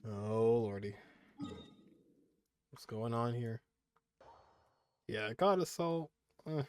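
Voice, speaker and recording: A man's voice: mid-range voice, lively intonation, takes it slowly, a little mellow, smooth. A man doing casual let's-play commentary, offhand and jokey, half talking to himself while he plays. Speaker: gaming streamer. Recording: game music behind the voice.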